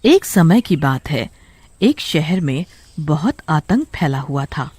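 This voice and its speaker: A man narrating a scripted horror story dramatically, with suspenseful, drawn-out phrasing. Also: a little high, big pitch swings, a little mellow, breathy edge, no room sound.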